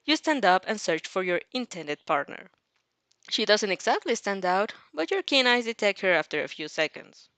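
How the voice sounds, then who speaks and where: Female reader-host, mid pitch, casual and giggly, expressive storytelling with rambling self-interruptions, close dry sound. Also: moderate pace, dry recording. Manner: rambling, giggly, often interrupts herself with asides. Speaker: host reading and reacting to fan fiction. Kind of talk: casual reaction chat and expressive story reading.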